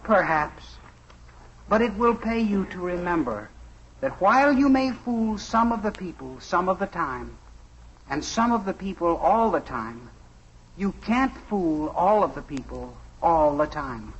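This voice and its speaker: Earnest statesman in a vintage radio play, high voice, muffled recording. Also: big pitch swings, unhurried, heavily acted. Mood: solemn, earnest. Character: earnest, dignified Abraham Lincoln in a play within a sitcom. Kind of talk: 1950s radio acting. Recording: dull husky old radio recording.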